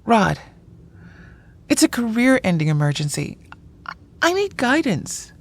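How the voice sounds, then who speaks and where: A woman's voice: a little high, big pitch swings, unhurried, full character voice. A woman playing a frustrated, self-doubting but stubborn writer in an audio drama. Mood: exasperated, reluctant. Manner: exasperated, hesitant.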